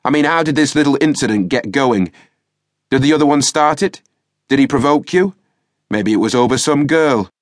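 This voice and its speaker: A man's voice: fairly low pitch, moderate pace, dry recording, studio quality, natural voice. A man voicing a grumpy middle-aged police sergeant, demanding answers in an exasperated way.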